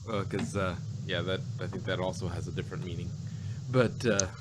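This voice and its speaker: A man chatting casually as a podcast host, halting and hesitant, with chuckles between thoughts. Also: deep voice, steady tone, very noisy. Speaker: podcast host reviewing an audio drama. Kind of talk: casual chat.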